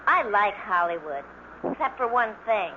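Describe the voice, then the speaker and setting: A woman's voice: medium pitch, big pitch swings, moderate pace, close to an everyday voice. A woman playing a giggly, earnest young starlet in a vintage radio interview. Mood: giggly, sincere. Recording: dull, hissy old radio recording.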